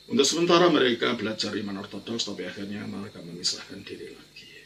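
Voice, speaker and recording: An older man with a gravelly, sharp-edged voice explains matters of faith like a priest answering questions. He speaks informally and emphatically, restating key phrases to drive them home. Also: lowish voice, a little hiss or hum. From Indonesia. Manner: emphatic, repeats phrases, explanatory with colloquial tags. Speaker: Orthodox priest and teacher.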